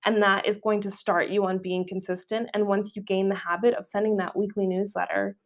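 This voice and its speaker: A woman's voice: medium pitch, little pitch movement, a little fast. A young woman with a Canadian accent, hosting a solo podcast in a casual, chatty way with frequent fillers. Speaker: podcast host.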